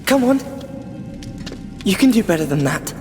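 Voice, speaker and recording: A woman's voice: medium pitch, sing-song, a little slow. A woman playing a defiant, angry teenage girl in a dramatic medieval video game, in a bitter, challenging manner. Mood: bitter, goading. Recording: long reverb, music behind.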